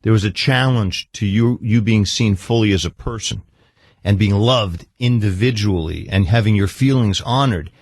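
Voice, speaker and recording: A man's voice: lowish voice, normal speed, no room sound. A man speaking as a therapist-interviewer in a probing session, insistently pressing his point and cutting in.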